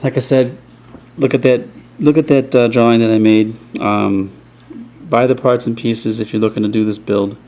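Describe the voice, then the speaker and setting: A man's voice: medium pitch, steady tone, moderate pace, a little mellow. A man casually explaining a speaker crossover build from behind the camera at an indoor workbench, rambling with many ums and restarts. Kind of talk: casual off-the-cuff tutorial. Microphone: camera mic, behind the camera.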